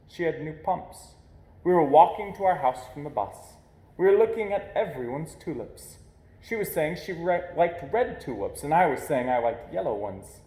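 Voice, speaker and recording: A man reading a children's picture book aloud in an expressive storytelling style, pausing deliberately for emphasis. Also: mid-range voice. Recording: echoey, roomy sound.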